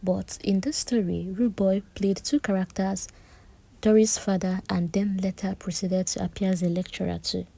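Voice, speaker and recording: A woman gives a chatty, animated recap of a reality-show drama, with personal asides. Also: medium pitch, moderate pace, gravelly. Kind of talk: casual recap commentary.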